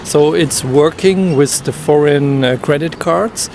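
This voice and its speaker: A man from Germany answering street interview questions slowly and carefully, pausing often. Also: a little high, a little mellow. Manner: slow, careful, pauses with uh. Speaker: tourist. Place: city street outdoors. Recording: faint street noise.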